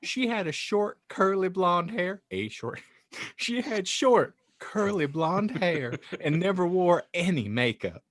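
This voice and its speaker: A man's voice: high voice, animated, slow pace, fairly bright tone, dry recording, clean studio sound. A man hosting a podcast, reading a story like an audiobook narrator in a dramatic, playful, mock-grand way. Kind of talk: theatrical audiobook reading with joking asides.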